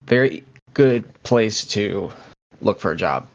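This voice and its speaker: A man in his twenties co-hosting a casual podcast, talking in a low-key, somewhat hesitant way. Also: expressive, slow pace. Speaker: podcast co-host. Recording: dull, lo-fi audio.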